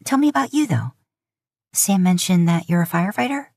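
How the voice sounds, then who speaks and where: A woman's voice: fairly low pitch, expressive, brisk, darkish tone, no echo, pitched above the performer's own voice. A young aspiring teacher voiced by a woman narrator chatters earnestly in a dry, close recording. She is breezy and a little nervous, rambling along as a character in a romantic audio story. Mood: breezy, flustered. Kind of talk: audio fan fiction character dialogue.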